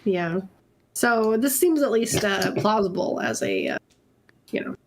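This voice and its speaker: A woman's voice: mid-range voice, very animated, a little slow. A woman chatting casually with a group reading and discussing stories. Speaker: panel member. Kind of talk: casual chat.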